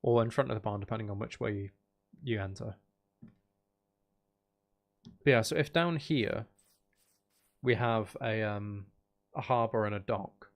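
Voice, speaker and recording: A man's voice: lowish voice, a little slow, vocal fry, long pauses. A man giving casual, unscripted gameplay commentary as a video host, thinking aloud and trailing off mid-sentence.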